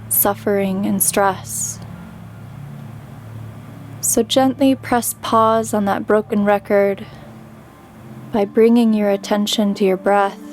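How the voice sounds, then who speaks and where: A woman leading a guided meditation with calm, gentle instruction and pauses between phrases. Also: medium pitch, a little hiss or hum. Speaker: meditation guide, yoga teacher. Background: background music.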